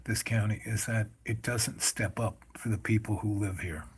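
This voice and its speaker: A man of seventy in casual conversation, rambling and often trailing off quietly at the ends of phrases. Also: on the low side, steady tone, normal speed, husky. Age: 70s. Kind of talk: casual chat.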